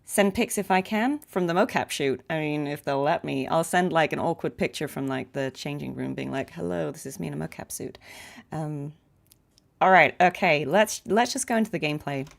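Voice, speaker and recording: Low-voiced woman chatting on a game stream. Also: normal speed, gravelly. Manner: chatty, informal, chuckles easily. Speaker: voice actress livestreaming gameplay. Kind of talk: casual livestream chat while playing a game. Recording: faint game music behind voice. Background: faint game music.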